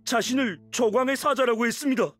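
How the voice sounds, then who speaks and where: A man's voice: very high-pitched, a little fast, fairly bright tone, soft background music. An actor playing a frightened, cowed man in a video game, stammering and pleading. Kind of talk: game character dialogue.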